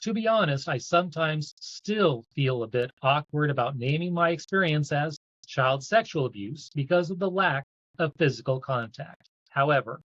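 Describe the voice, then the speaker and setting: A man's voice: fairly high pitch, animated, normal speed, a bit bright, no echo. Man reading aloud, dull dry recording. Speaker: event host reading a submitted story aloud. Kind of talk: reading a written personal account aloud.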